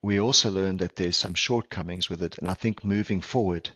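Low-voiced South African man presenting. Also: fairly bright tone, slightly rough, no echo. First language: Portuguese. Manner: earnest, measured, with frequent ums and restarts. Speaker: palliative care physician presenter. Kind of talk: conference presentation over video call. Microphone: video call headset or laptop mic.

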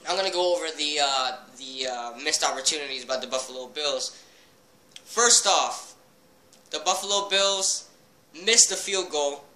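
A man's voice: on the high side, pushed and bright, reverberant, slight background noise, low-quality recording. A teenage boy doing a heated post-game football review, talking into a laptop webcam in a small bedroom. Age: teens. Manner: heated, fast fan rant with fillers. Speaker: sports vlogger.

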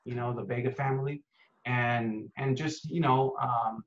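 A man's voice: medium pitch, steady tone, measured pace. A man in his thirties from Costa Rica, an actor chatting casually in an interview over a video call, laid-back and full of "dude" and "man". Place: home room with window, indoors. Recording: dull lo-fi video call audio.

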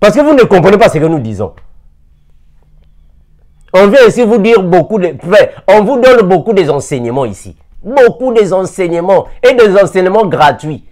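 A man's voice: high voice, darkish tone. A man in his forties teaching on video from an armchair indoors, firing rhetorical questions at his listeners. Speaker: preacher. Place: indoor room, seated in armchair. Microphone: lapel mic.

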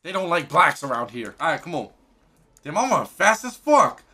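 A young man in his twenties, a streamer giving crude, loud, excitable commentary while playing a game, talking into a headset in his bedroom. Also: on the high side, normal speed. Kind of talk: crude live gaming commentary. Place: pink-lit bedroom, indoors.

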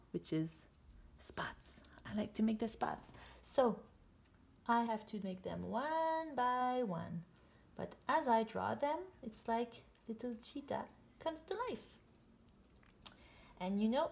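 A woman in her thirties talks children through a drawing in short, simple phrases. Her voice sits at an average pitch with a noticeable creak. She speaks as an illustrator demonstrating while she works.

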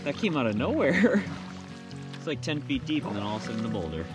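Young man fishing from a canoe. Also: medium pitch. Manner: excited, explains tackle plainly. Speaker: angler in canoe. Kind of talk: casual outdoor chat. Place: canoe on a lake, outdoors. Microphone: action camera on boat.

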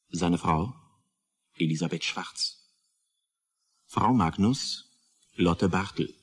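A man with a low, husky voice makes a scripted radio announcement. He reads slowly and soberly, item by item, with formal restraint. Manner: formal, sober, list-like delivery. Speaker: announcer reading the credits.

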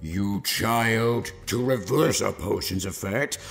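A man voicing a stern, commanding goat villain with a harsh, booming, gravelly voice in a dramatized story reading. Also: slow. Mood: stern, scornful. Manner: harsh, threatening, theatrical. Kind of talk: dramatized fan fiction reading. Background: background music.

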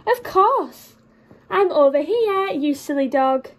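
Storybook cat, played by a woman: haughty and snappy, high-pitched, exaggerated emphasis. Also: expressive, slow pace. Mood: scornful.

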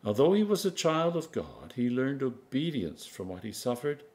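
A man in his sixties, an Anglican priest, reading scripture aloud in a measured, solemn, emphatic manner. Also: medium pitch, sing-song, darkish tone. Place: home kitchen, indoors.

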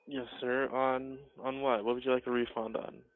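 A man working in cinema customer service, speaking politely and haltingly on a phone call, often repeating himself. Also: mid-range voice, flat delivery, a little hiss or hum. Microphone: telephone call. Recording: tinny muffled phone line.

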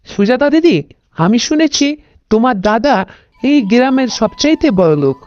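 A woman voicing a gentle, helpful village friend in a cartoon, speaking in a polite, coaxing way, with dull lo-fi sound. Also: on the high side, very animated, a little slow, dry recording. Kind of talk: cartoon morality tale dialogue.

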